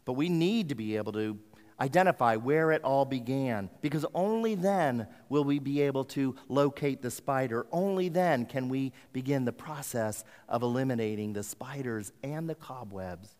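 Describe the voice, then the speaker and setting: A man preaching a sermon in earnest, deliberate phrases. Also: medium pitch, lively intonation, darkish tone. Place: large hall. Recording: echoey hall with long reverb.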